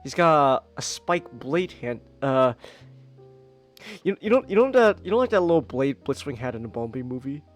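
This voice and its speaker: A man co-hosting a casual podcast, excitable and stammering, laughing often and breaking into squeaky character voices. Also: moderate pace, faint music behind. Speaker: podcast co-host. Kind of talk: casual chat.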